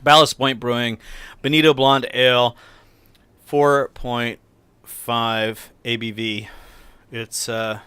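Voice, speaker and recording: A middle-aged American man co-hosting a casual film discussion as critic and host, halting and often restarting his phrases. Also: mid-range voice, level tone, bright, forceful tone, clean tone, dry recording. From United States, near the Appalachian Mountains.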